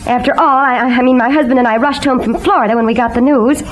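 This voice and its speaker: A woman's voice: high-pitched, steady tone, quick pace, lightly acted. A woman playing an anxious, polite young mother, earnest and easily flustered, in an old radio serial. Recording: noisy vintage radio recording.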